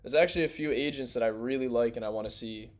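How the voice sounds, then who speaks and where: A young man hosting a crypto livestream in loose, rambling, slangy casual chat, his voice worn and going hoarse. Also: mid-range voice, fast talker. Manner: loose, rambling, slangy, restarts mid-thought. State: losing his voice.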